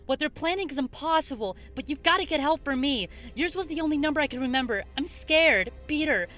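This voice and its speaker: A woman's voice: high voice, expressive, fairly quick, fairly bright tone. A woman playing a terrified comic-book character in peril, pleading desperately. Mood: frightened, pleading.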